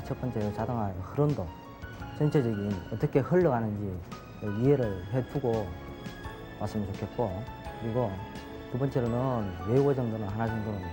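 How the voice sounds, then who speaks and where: Male engineer interviewee, lower register, halting short phrases, music playing underneath. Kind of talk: interview advice.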